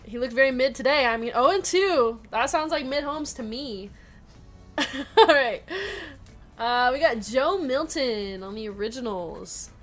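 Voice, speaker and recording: A woman's voice: sits high, lively intonation, a little slow, fairly bright tone. A woman hosting a live card break in casual chat, laughing often as she reads out players and numbers. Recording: music playing behind.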